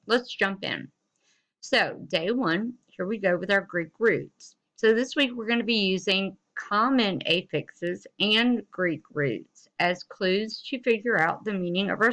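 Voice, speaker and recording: A woman's voice: lowish voice, fairly bright tone. A woman giving an instructional lesson as a teacher, explaining things informally and encouragingly to students.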